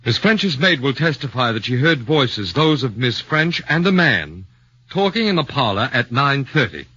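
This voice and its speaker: An actor playing a shrewd middle-aged defense lawyer who questions in a measured, probing way, in 1940s radio acting. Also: male voice, mid-range voice, very animated, bright, forceful tone, slightly rough, exaggerated voice. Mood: earnest. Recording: dull 1940s radio broadcast.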